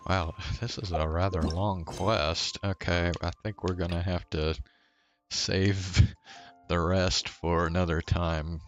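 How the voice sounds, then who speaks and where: A woman's voice: very deep voice, fairly even intonation, takes it slowly. A woman livestreamer giving casual commentary while playing a video game. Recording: dull audio, faint game music behind.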